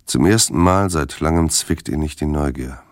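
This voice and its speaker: Very deep, brooding noir narrator. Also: male voice, normal speed, dry recording, clean studio sound. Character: brooding male narrator of a noir detective story. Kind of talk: scripted radio crime drama narration.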